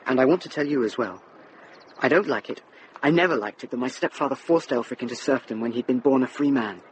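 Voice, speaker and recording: A young actor playing an earnest, well-bred youth, grateful and eager, in a radio drama. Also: male voice, medium pitch, fast, a little hiss or hum, close to an everyday voice, poor audio. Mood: shy eagerness. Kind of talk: British radio drama acting.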